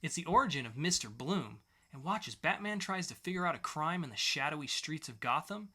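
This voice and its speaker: A man's voice: on the high side, expressive, fairly quick, pushed and bright, breathy edge. A man co-hosting a podcast, chatting casually and enthusiastically about what he reviews, repeating phrases for emphasis. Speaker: podcast co-host. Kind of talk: casual comic book review chat.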